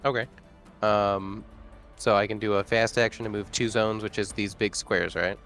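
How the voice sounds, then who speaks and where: Male tabletop player, fairly low voice, casual and conversational, music in the background. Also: steady tone, normal speed, a bit bright. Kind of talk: casual game chat.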